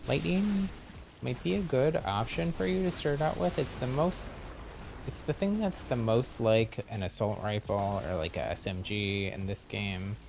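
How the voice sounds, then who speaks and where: A man's voice: medium pitch, normal speed, creaky, background noise. A man giving casual gameplay commentary on a livestream, explaining things as he goes and thinking aloud. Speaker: game livestreamer.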